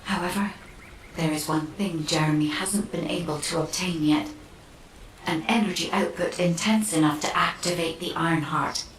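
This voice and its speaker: An actress playing a calm, analytical science officer in a video game, speaking in a measured, precise and composed way. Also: female voice, on the low side, natural voice. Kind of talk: dramatic video game cutscene acting. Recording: echoey, distant, noisy game audio.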